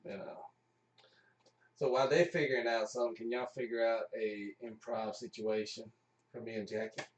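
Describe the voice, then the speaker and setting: Male stream host, mid-pitched, informal jokey chat, echoey room, distant mic. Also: steady tone, moderate pace. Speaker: livestream host. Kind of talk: casual chat.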